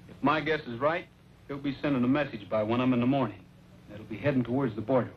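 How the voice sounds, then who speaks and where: An actor playing a confident, plain-spoken cowboy hero who takes charge, in an old-time western. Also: male voice, medium pitch, fast talker, lightly acted. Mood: confident planning. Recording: dull old recording with heavy noise.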